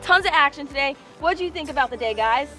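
A woman's voice: high-pitched, expressive, quick pace, pushed and bright, faint music behind. A young woman hosting a fishing vlog, coaching and cheering in an upbeat way, recorded outdoors on an open boat and at a dock. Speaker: fishing channel host. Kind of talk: excited fishing vlog chat. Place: open boat at sea, then a marina dock.

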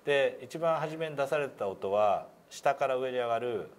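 A man's voice: mid-range voice, expressive, moderate pace. A middle-aged man coaching English pronunciation in a casual, hands-on way, demonstrating sounds in a meeting room. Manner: demonstrates single sounds and words, drawn out. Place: small meeting room, indoors.

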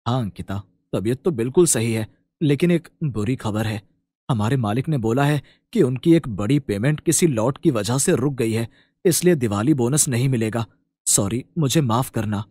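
Guy voicing a gentle sensible husband in a cartoon, measured, close dry recording. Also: mid-range voice, animated, no room sound, close to an everyday voice. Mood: apologetic.

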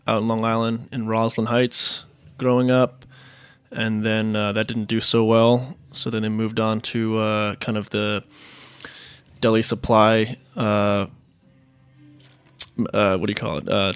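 A man in his thirties from Queens, answering interview questions in a relaxed, halting way with many fillers. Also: fairly low pitch, vocal fry, clean tone, no echo. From Queens, New York. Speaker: restaurant managing partner, guest.

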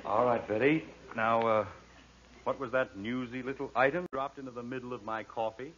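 A man's voice: medium pitch, fairly even intonation, normal speed, a bit bright, dull audio, a little hiss or hum, close to an everyday voice. An actor playing a wry, exasperated middle-aged father, sarcastic and authoritative, in a 1940s radio comedy on a vintage recording. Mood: sarcastic, probing.

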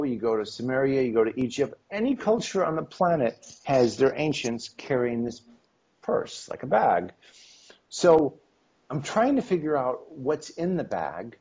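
Husky man with a slight cold. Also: medium pitch, darkish tone. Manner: rambling, many ums, acts out quoted dialogue. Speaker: interview guest, esoteric history author. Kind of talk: casual interview over video call. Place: home room on video call. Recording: dull lo-fi video call audio.

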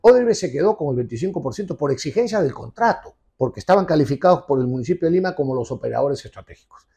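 Gravelly, emphatic man in his sixties. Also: a little high, lively intonation, fast talker, warm tone. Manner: emphatic, insistent, gesturing. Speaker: TV show host and commentator. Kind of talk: opinionated political commentary. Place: indoor office with leather sofa. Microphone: lapel mic.

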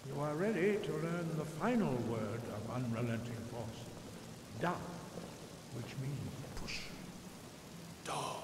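An actor playing a solemn old monk master in a fantasy video game, speaking slowly, formally and with measured gravity. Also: male voice, mid-range voice, lightly acted. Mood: grave, instructive. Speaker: game character, mentor. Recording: echoing stone hall, noisy background ambience.

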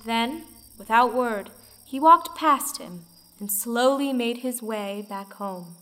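A woman's voice: fairly high pitch, slow pace, pushed and bright. A woman narrating a storybook audio play in a dramatic style. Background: faint music.

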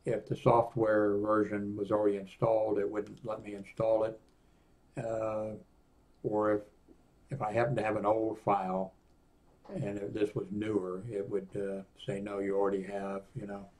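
An older man in his sixties with a fairly low voice reviews a product off the cuff. He talks casually and haltingly, rambling between his own remarks and bits he reads aloud from a screen. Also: a little mellow. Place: small indoor home office room.

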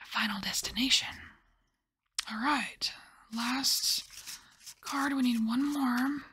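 A woman softly whispering and musing as she lays out and reads tarot cards in an ASMR style. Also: fairly high pitch, husky. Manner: soft whispering, musing and unhurried. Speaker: tarot reader.

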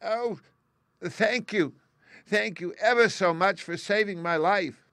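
A man voicing a tiny, proud but timid mouse queen in a squeaky storybook voice. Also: slow pace, a bit bright, dry recording, studio quality, full character voice. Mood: grateful. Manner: exclamatory, regal. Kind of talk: audiobook character voice.

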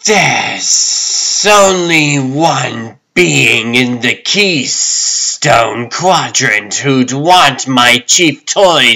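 A man's voice: medium pitch, bright, forceful tone, heavily acted, low-quality recording. A man voicing a vengeful reptilian overlord in a comic dub, drawing out every word imperiously. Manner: drawn-out, imperious.

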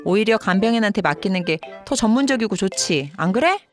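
A woman's voice: medium pitch, animated, brisk, close to an everyday voice. A woman playing a practical working wife and daughter-in-law, pointed and matter-of-fact, in a dramatized story video. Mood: pointed, sarcastic. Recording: music behind the voice.

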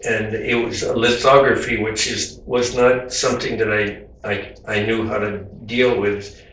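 An older man, an artist, talking informally about his painting in a gentle, halting way with many fillers and restarts. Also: on the low side, slight background noise. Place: home room with windows, indoors. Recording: very echoey room, voice from a distance.

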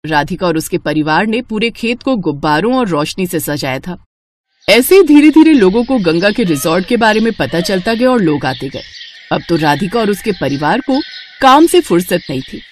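Expressive woman storyteller. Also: medium pitch, normal speed, slight background noise. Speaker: narrator. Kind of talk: scripted animated story narration.

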